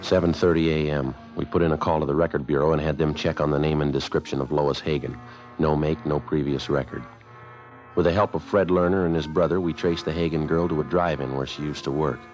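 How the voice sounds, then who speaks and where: An American actor playing a terse police sergeant who narrates his case in a clipped, deadpan, matter-of-fact way for a 1950s radio drama. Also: a bass voice, brisk. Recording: muffled vintage radio recording, music behind. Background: music.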